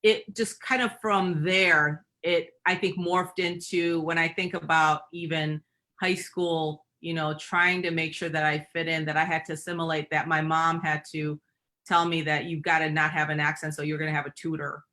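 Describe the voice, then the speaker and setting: A woman's voice: deep voice, flat delivery, moderate pace, pushed and bright. A middle-aged woman with a Michigan accent, a guest telling personal stories in reflective talk with frequent fillers. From Michigan, USA. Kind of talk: personal storytelling in interview.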